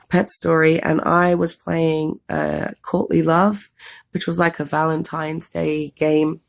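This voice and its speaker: A woman from London with a London accent, losing her voice to a cold, chatting casually and rambling through a story time for a vlog. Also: low voice. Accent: London English. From England, London. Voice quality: hoarse, gravelly. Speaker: vlogger co-host.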